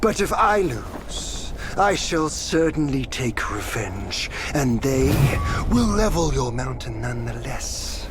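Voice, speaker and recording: A man's voice: medium pitch, very animated, slow, few pauses, over music. An actor playing a smug, taunting male celestial warrior god in a game cutscene, drawing out his words. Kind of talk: video game cutscene acting. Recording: game cutscene with ambient noise.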